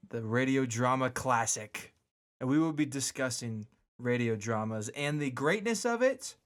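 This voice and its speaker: A man hosting a casual sports and entertainment podcast, excitable, often stammering and repeating words as he goes. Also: mid-range voice. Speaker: podcast host.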